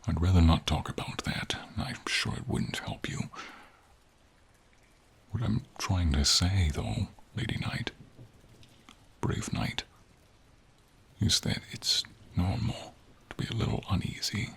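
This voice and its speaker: A man voicing a gentle, encouraging silver dragon in a fantasy ASMR roleplay, with a husky, near-whispered voice and slow, tender, courtly phrasing, over rain. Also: low-pitched, exaggerated voice. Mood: gently concerned. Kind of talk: fantasy ASMR roleplay, soft intimate acting. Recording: steady rain behind the voice.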